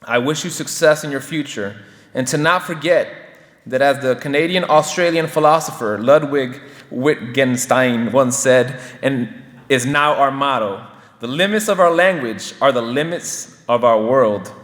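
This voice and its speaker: A man's voice: medium pitch, measured pace, fairly bright tone. A man, a Spanish teacher, giving a formal, earnest ceremony speech to honor students. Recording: hall with long reverb.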